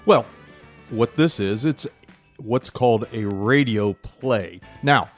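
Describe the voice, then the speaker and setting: Male actor guest, seventies, medium pitch, agreeable and halting explainer in casual conversation. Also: soft background music. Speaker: local actor, podcast guest. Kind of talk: casual explanatory interview talk. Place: indoors at a small theatre.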